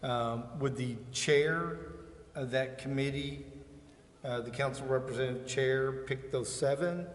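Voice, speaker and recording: A man's voice: mid-range voice, unhurried. A man asking tentative, step-by-step procedural questions at a council meeting. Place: indoor council chamber. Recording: echoey chamber with faint noise.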